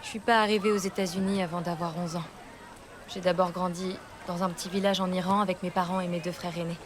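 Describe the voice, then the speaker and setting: Dub actress doing a soft confiding lady telling her past, light background hum. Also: fairly low pitch, flat delivery, quick pace, natural voice. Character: woman raised in a small village abroad, confiding her past. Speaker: character in a dubbed series. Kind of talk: television dub acting.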